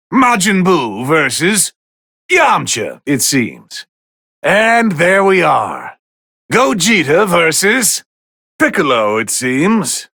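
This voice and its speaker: Snooty bored male god calling game fights, slow condescending drawl, really swoopy delivery, super dry close recording. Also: high-pitched, sharp tone, dry recording, heavily acted. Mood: curious, announcing. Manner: condescending, drawling, theatrically refined. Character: haughty, easily bored male god of destruction. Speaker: fight commentator. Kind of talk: English video game voice lines, match commentary.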